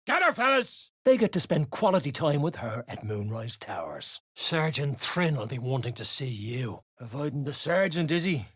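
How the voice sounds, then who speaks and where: An actor voicing a gruff, surly dwarven raider guard in a video game, coarse and slangy, grumbling and barking orders. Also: medium pitch, sing-song, normal speed, hardly any pauses, fairly bright tone, dry recording, clean studio sound. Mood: barking, hostile. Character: gruff, surly duergar raider guard, rough-tongued underling. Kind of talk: video game character voice lines.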